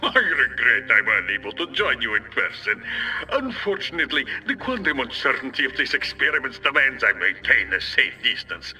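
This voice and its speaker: An actor playing a gloating Soviet premier in a video game, with a Russian accent and a grandiose, mock-polite manner, heard over a radio. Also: male voice, medium pitch, sing-song, normal speed, pushed and bright, faint music behind, rough recording. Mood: smug, gleeful. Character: gloating Soviet villain premier, theatrical and mocking. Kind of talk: theatrical video game villain acting.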